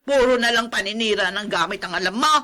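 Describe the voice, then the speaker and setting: A woman's voice: mid-range voice, fast, pushed and bright, lightly acted. A woman acting a fussy, middle-aged aunt in a radio drama, exclaiming and nagging in a melodramatic way. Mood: exasperated scolding. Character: scolding older aunt, fussy and quick to complain.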